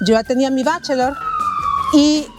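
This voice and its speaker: A Salvadoran woman in her thirties with a Salvadoran Spanish accent, telling her own story in an interview outdoors, earnest and hesitant, with many pauses. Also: high voice. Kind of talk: street interview, personal story. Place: outdoors on a city street.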